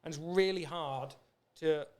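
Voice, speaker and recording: A man's voice: on the high side, measured pace, a little mellow. A British man hosting a podcast in a casual chat, talking in an informal, rambling, matey way. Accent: British English. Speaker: podcast host.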